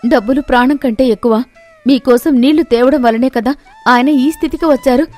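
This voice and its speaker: A woman's voice: high voice, fairly quick, a little mellow, faint music behind, lightly acted. A woman voicing a caring village wife in a moral story, earnest and pleading, speaking up firmly. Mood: pleading, reproachful. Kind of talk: animated moral-story voice acting.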